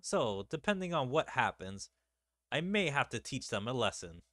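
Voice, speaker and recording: A man's voice: mid-range voice, very animated, moderate pace, sharp tone, dry recording, studio quality. A man reading game dialogue as a haughty, scheming, coolly menacing female vampire ruler.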